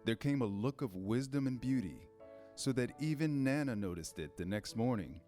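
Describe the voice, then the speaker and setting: A male narrator tells a children's story with a measured delivery. His pitch is mid-range, and music plays faintly behind him. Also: expressive, mellow.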